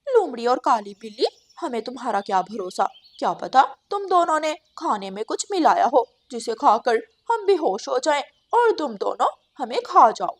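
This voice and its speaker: A woman's voice: sits high, big pitch swings, normal speed, hardly any pauses, heavily acted. A woman voicing a wary, suspicious forest bird in a children's cartoon, questioning and challenging. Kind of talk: Hindi children's cartoon story acting.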